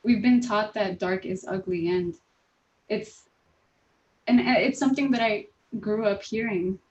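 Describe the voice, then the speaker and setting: The recording is slightly muffled and lo-fi. A woman of medium pitch talks earnestly in a group discussion, pausing deliberately between thoughts. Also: darkish tone. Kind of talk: casual panel discussion. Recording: dull lo-fi audio.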